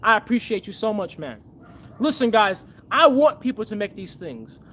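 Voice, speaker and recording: Very high-voiced earnest male streamer. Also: big pitch swings, normal speed, fairly bright tone. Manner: earnest, thanks donors by name; fervent, rallying. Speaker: livestream host and machine builder. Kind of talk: casual livestream chat.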